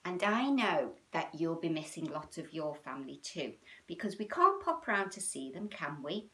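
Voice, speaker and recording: A British woman in her fifties talks to young children as a storytime host. Her pitch swoops up and down in a sing-song, animated way. She speaks chattily and often turns statements into little questions for her listeners. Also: normal speed. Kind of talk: chatty, child-directed livestream talk. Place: small room at home, indoors.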